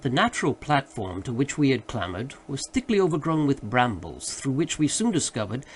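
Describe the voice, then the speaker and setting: A man narrating a literary audiobook in a formal, expressive manner. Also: medium pitch, normal speed, a little hiss or hum.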